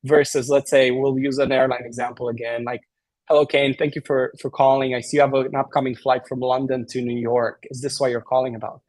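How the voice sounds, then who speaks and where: A man's voice: medium pitch, level tone, a little mellow, dry recording. A man from Romania with a slight Romanian accent, explaining things as a guest in a podcast interview, with lots of fillers and restarts. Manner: fast, filler-heavy explaining with many restarts. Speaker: tech company guest.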